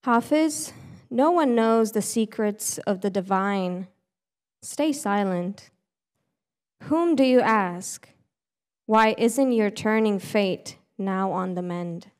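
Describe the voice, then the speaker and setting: A woman giving a solemn, measured recitation of poetry to a hall as a student reader. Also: medium pitch. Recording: reverberant hall.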